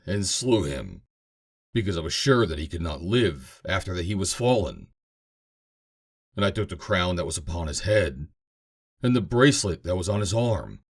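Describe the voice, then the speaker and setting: A man's voice: deep voice, sing-song, moderate pace, full character voice. A man voicing an earnest young Amalekite messenger, declaiming his report in a scripture reading.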